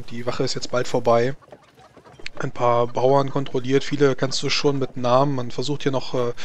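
A man's voice: mid-range voice, a little hiss or hum. A man narrating a tabletop role-playing game as game master, improvising scene descriptions.

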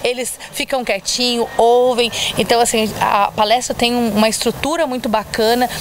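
A woman's voice: fairly high pitch, steady tone, moderate pace, very noisy. A woman in her thirties giving an on-camera news interview outdoors, speaking earnestly into a handheld reporter mic with some hesitations. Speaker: education official. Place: outdoors by a building, street traffic.

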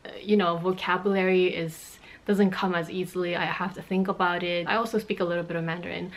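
A woman's voice: lowish voice, steady tone, fast, poor audio. A woman in her thirties from Canada whose first language is Cantonese, chatting through a fashion Q&A vlog at home and laughing often. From Montreal, Canada. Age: 30s. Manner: chatty, explains with hand gestures, laughs often. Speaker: fashion YouTuber. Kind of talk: Q&A vlog chat. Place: bedroom in a home, indoors.

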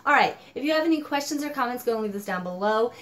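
A young woman with a fairly high voice talks through a book review at her home desk, animated and a little exasperated. She's coming down with a cold, so her voice sounds slightly hoarse and squeaky. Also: fast talker, a little hiss or hum. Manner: animated, exasperated, gestures a lot. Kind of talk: casual vlog book review. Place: home office with desk and monitors.

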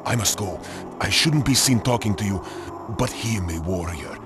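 A man's voice: on the low side, animated, normal speed, music in the background, close to an everyday voice. An actor playing an earnest, secretive medieval townsman in a video game, speaking warily. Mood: wary. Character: wary, earnest medieval townsman bearing warnings. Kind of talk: video game cutscene acting.

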